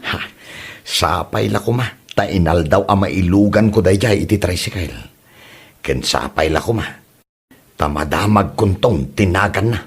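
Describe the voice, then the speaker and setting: A man's voice: low voice, measured pace, breathy edge. A young man acting in a comedic audio drama, a jokey son who drives a tricycle and laughs often. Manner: lively and teasing, laughs often. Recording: music bed behind the voice.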